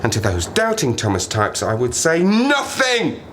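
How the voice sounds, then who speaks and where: A man plays a lonely castaway survivor in a radio drama, half-mad and wryly sardonic. His mid-pitched voice swings animatedly as he rambles to himself in a diary-like monologue. Some background noise sits behind him. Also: wildly swooping pitch, unhurried, a bit bright. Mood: wry, manic. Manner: rambling, self-mocking, sardonic, talks to himself.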